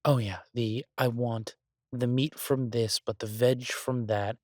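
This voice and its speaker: A man playing an exasperated restaurant chef venting to his partner in a halting, grumbling audio roleplay. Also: medium pitch, steady tone, a little mellow, no room sound, close to an everyday voice. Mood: exasperated, ranting. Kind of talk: boyfriend audio roleplay, conversational monologue.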